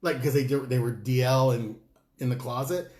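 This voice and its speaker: A man co-hosting a casual talk show, chatty and playful, breaking into high exclamations. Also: normal speed, a little mellow, reverberant. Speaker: co-host. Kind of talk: casual chat.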